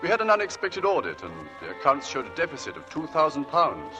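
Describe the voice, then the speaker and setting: An actor playing a courteous, apologetic gentleman employer in a 1940s radio drama. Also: fairly high pitch, huge pitch swings, fast. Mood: courteous, regretful. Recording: distant old radio broadcast, music behind.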